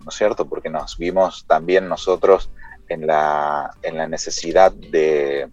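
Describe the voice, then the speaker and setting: A man giving explanatory interview answers as a guest, haltingly, over a dull connection. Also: rumbling low, fairly even intonation, darkish tone, no room sound, faint music behind. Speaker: interview guest, company CEO.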